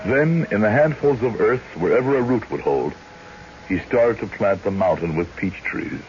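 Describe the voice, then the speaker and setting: An actor playing a grave, genteel county clerk in a radio drama, speaking in a measured, formal, portentous way. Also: male voice, on the low side, expressive, darkish tone, lightly acted. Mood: solemn narrating. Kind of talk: old-time radio drama acting and narration. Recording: dull, hissy radio drama recording.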